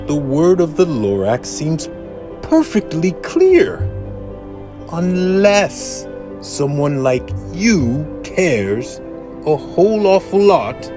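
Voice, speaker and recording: A man's voice: fairly high pitch, pitched above the performer's own voice, exaggerated voice. A man voicing an old industrialist hermit in a rhyming storybook, dramatic and emphatic, lingering on words. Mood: urgent, hopeful. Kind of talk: rhyming children's storybook read-aloud.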